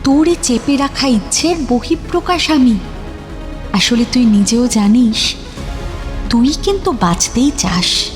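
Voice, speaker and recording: A woman's voice: fairly high pitch, animated, a little slow, long pauses, over music. An actress playing a mysterious young woman who speaks intimately and persuasively in an audio drama. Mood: assured, earnest. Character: mysterious young woman, embodiment of a hidden will to live.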